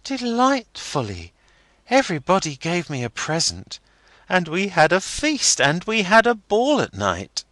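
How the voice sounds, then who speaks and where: A man voicing a playful, pouting young woman in a high, sing-song girlish voice. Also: huge pitch swings, a little slow, a bit bright, no echo, heavily acted. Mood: playful, teasing.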